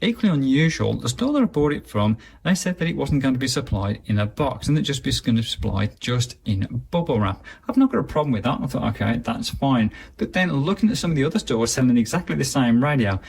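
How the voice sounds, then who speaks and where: A man's voice: mid-range voice, lively intonation, fast, gravelly, few pauses, darkish tone, slight background noise. A man giving a casual, chatty and matter-of-fact hands-on review of a gadget. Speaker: product reviewer.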